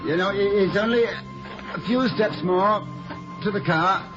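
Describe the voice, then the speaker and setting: An actor playing a gallant, flustered middle-aged professor in 1950s radio comedy-drama, speaking haltingly with a gentle stammer. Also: male voice, high voice, music in the background. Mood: breathless, smitten. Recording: muffled old 1950s radio recording.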